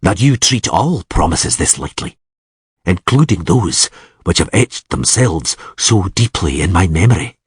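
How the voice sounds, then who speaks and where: A man playing a calm, grim island lord who speaks in a measured, scathing way. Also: deep voice, slightly rough, no echo, clean studio sound, full character voice.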